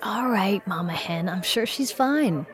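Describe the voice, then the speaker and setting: A woman's voice: mid-range voice, animated, measured pace, a crowd in the background, natural voice. A woman playing breezy, teasing bartender. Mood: wry, supportive. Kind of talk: naturalistic audio drama acting.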